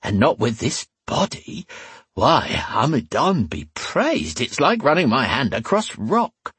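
A man's voice: medium pitch, huge pitch swings, takes it slowly, a bit bright, husky, no echo, pitched above the performer's own voice, full character voice. A man voicing a coarse, lecherous middle-aged tavern woman with a suggestive, folksy drawl in a fantasy audiobook. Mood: flirtatious, lecherous. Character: lecherous, homely heavy-set tavern woman in her forties, coarse.

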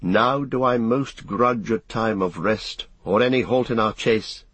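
A man's voice: fairly low pitch, unhurried, fairly bright tone, husky, no room sound. A man voicing a lofty male elf in a fantasy audiobook, speaking in solemn, formal, archaic phrases. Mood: uneasy, grave. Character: lofty, keen-eyed male elf, urgent and foreboding.

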